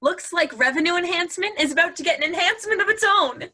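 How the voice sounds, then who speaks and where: A woman's voice: very high voice, lively intonation, fast, pushed and bright, exaggerated voice. A woman acting in a play reading as a sly, upbeat wife, teasing and persuasive. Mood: wry, upbeat. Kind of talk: virtual stage play reading.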